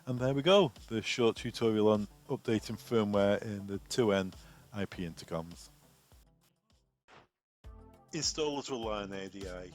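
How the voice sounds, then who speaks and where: A man's voice: lowish voice, moderate pace, pauses often. A man works as a technical trainer, talking through a tutorial step by step. His delivery is plain and informal, and music plays with his voice. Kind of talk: software tutorial walkthrough.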